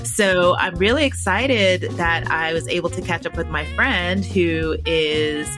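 Podcast host, woman, upbeat and chatty, slightly low voice. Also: measured pace, music in the background. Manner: upbeat, enthusiastic. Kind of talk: casual podcast interview.

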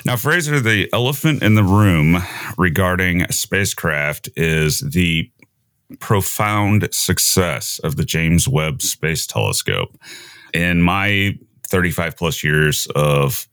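A man's voice: rumbling low, fairly bright tone, no echo. A middle-aged man hosting a podcast, putting questions and remarks to his guest in a conversational way. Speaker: podcast host, interviewer. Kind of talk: conversational interview.

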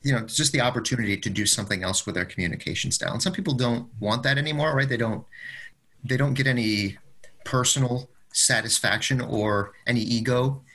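A man with a husky voice that still comes across bright and forceful. He talks as a podcast co-host, casual and encouraging, offering advice in a conversational way at an average pitch. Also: fairly quick. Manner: encouraging, advisory, often checks with "right?". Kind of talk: casual workplace discussion.

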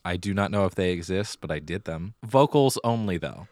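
A man's voice: low-pitched, expressive, fairly quick, a little mellow, no room sound. A man co-hosting a casual podcast, chatting and telling stories in a theatrical way. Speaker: podcast co-host; middle school theater director. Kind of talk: casual conversational podcast chat.

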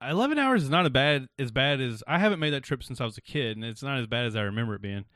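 A man's voice: mid-range voice, lively intonation, very fast, a bit bright, no echo, studio quality. A man hosting a podcast, chatting casually and rambling with frequent laughs. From South Carolina. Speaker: podcast host.

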